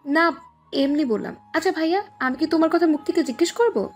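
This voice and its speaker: Woman acting a touchy high-voiced little sister. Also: fairly quick, lightly acted. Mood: insistent, confiding. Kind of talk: dramatized audio love story. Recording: music under the voice.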